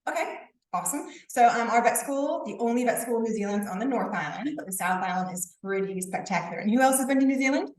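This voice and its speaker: A woman's voice: a little high, expressive, quick pace. Casual American woman speaker, room-mic'd at a distance, echo, chatty with the crowd. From United States (St. Louis, Missouri). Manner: chatty, asks the audience questions. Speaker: university recruitment and admissions officer. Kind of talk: informal presentation to a student club. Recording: roomy sound, mic at a distance.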